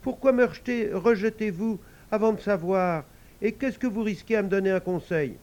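A man's voice: high voice, moderate pace, a little mellow, voice pushed up, natural voice. A man voicing a small, polite young male devil, earnest and timid. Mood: earnest pleading.

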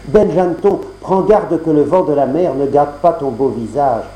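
A man's voice: on the high side, moderate pace, mellow, close to an everyday voice. A man voicing an earnest younger brother giving a sing-song warning, in an echoey room.

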